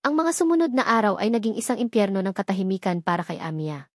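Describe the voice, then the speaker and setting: A woman's voice: medium pitch, big pitch swings, quick pace, no room sound. Dry, close woman storyteller. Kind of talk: scripted storybook narration.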